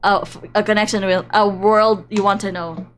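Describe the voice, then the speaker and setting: A woman's voice: mid-range voice, steady tone, moderate pace, slight background noise. A woman streamer reading a visual novel aloud in a playful, dramatic way, breaking off for casual asides. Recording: music behind the voice. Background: game background music.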